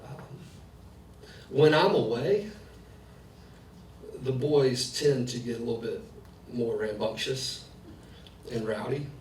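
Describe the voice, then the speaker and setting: A man's voice: mid-range voice, slow pace, pauses often, darkish tone. A man in his thirties, a pastor giving a conversational sermon full of personal family stories. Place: church sanctuary, preaching in the round. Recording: echoey hall, distant mic, faint noise.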